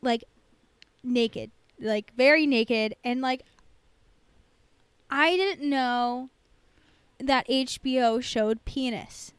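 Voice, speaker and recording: A woman's voice: sits high, very slow, pauses often, clean tone, no room sound. A woman co-hosting a podcast in casual chat about a TV show, informal and sweary, saying "like" constantly. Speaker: podcast co-host.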